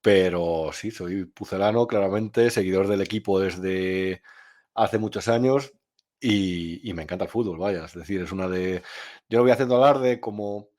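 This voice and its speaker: A man from Spain, answering interview questions in a frank, opinionated way. His voice sits on the low side, and he often pauses and starts his sentences over as he thinks. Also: darkish tone. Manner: frank and opinionated, with frequent hesitations and restarts. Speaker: journalist and novelist guest.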